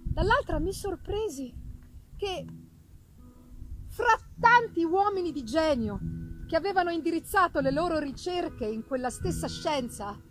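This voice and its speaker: A woman gives a dramatic literary reading with theatrical, deliberate phrasing and pauses. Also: extremely high pitch, animated, music in the background, low-quality recording. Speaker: reader performing a gothic novel. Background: live musical accompaniment.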